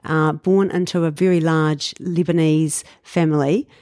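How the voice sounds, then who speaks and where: A New Zealand woman in her fifties, telling her life story in a relaxed interview, reflective with frequent fillers and light laughs. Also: low voice, unhurried, no room sound. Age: 50s. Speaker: guest. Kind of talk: casual interview chat.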